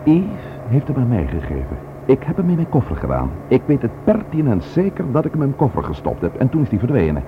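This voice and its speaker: A man's voice: mid-range voice, wildly swooping pitch, fast talker. An actor playing an attentive, inquisitive man in a radio play, talking in a conversational, reassuring way. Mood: puzzled, earnest. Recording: noisy, tinny narrow-band radio play recording.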